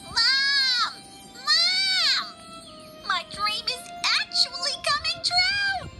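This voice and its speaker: A woman's voice: very high voice, very animated, extremely slow, loud music behind the voice, heavily acted. A woman voicing a vain, greedy, dreamy young daughter in a children's fairy tale, with a gushing, theatrical manner. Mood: excited. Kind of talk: children's animated storybook acting.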